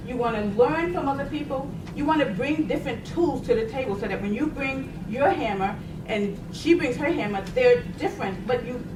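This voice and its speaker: Woman panelist, middle-aged, from Boston; chatty storyteller; distant, reverberant room recording. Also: on the high side. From Boston, United States. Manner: chatty, laughs while telling stories. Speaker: panelist, science agency program director. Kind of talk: conversational panel talk. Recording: echoey room, distant mic, noisy.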